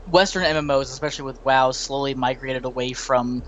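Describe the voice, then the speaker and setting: A man in his late twenties chatting casually about games, telling quick anecdotes in a talkative way. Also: mid-range voice, steady tone, slight background noise. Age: late 20s. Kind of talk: casual gaming chat.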